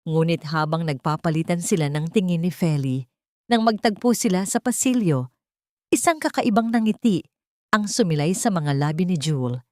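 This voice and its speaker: A Filipino woman narrates a dramatic story in a low voice. The recording is dry and close, and she delivers the scripted lines as a storyteller. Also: expressive, normal speed, a little mellow, no room sound.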